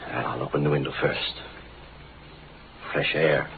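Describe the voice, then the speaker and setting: A man's voice: very deep voice, flat delivery, unhurried, gravelly, exaggerated voice. An actor playing a weary, troubled older businessman and father in an old radio drama. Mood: weary, defeated. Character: weary, troubled older father, embattled streetcar company owner. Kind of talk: 1930s-40s radio drama acting. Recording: noisy, narrow-band old radio recording.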